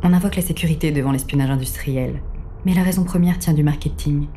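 A woman performing as the detached, ironic storyteller of a dark audio fiction, with formal, measured literary narration. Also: sits low, soft background music, natural voice.

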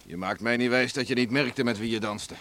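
A man's voice: medium pitch, fairly quick, fairly bright tone, a little hiss or hum, close to an everyday voice. An actor playing a strict, suspicious middle-aged father in a radio play, with a stern, scolding manner. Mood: stern disbelief. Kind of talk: Dutch radio play acting.

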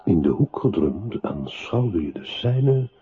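Deep intense male narrator, very animated swings, radio play, hissy phone-like recording. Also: slow, full character voice. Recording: muffled, noisy phone-like old radio recording.

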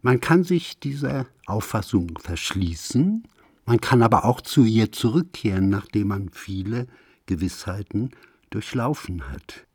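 A man reading a literary essay in a measured, grave, declamatory manner, like a solemn radio recitation. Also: on the low side, expressive, a little mellow, husky, dry recording, studio quality.

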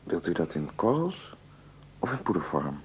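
Deep, husky, laconic pharmacist. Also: male voice, very animated, normal speed, heavily acted. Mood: indifferent. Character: dry, indifferent male pharmacist. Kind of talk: radio play acting.

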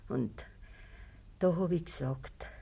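Old Bavarian mother, low soft dark voice, frail, breathy, pious, pleading, radio play. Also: full character voice. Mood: pleading, tender. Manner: slow, halting, pleading. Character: gravely ill old peasant mother, pleading, gentle, pious. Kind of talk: naturalistic dialect radio drama acting.

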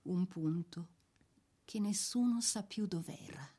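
A woman narrating a scripted dramatic biography, solemn and measured, with deliberate pauses between phrases. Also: on the low side, mellow, slightly rough.

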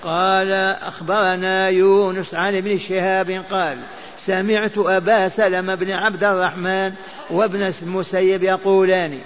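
A man's voice: high-pitched, little pitch movement, normal speed. An older man giving a mosque lesson on hadith, chanting and repeating texts so listeners memorize them. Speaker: mosque teacher. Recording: dull lo-fi lecture recording.

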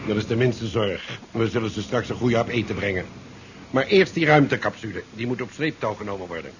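An actor playing a stern, dutiful ship's commander in a 1970s science fiction radio drama, measured, dry and authoritative. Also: male voice, fairly low pitch, lightly acted. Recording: muffled, noisy 1970s radio recording.

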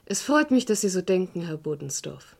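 An actress playing a composed, guarded woman in a crime radio play, speaking in a measured, formal way. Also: fairly low pitch, very animated, gravelly, husky, exaggerated voice. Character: composed, formal unmarried woman holding a secret.

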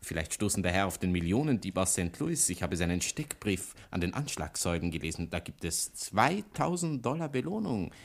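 An actor playing an obsequious, chatty barber who flatters his customer with servile, flowery politeness in a radio play. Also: deep voice, moderate pace, gravelly, hardly any pauses, full character voice. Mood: chatty, fawning.

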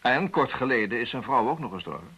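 Brisk husky detective, very animated. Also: male voice, mid-range voice, highs cut off, exaggerated voice. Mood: explaining. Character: brisk, urgent male detective, insistent and shrewd. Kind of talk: radio play acting.

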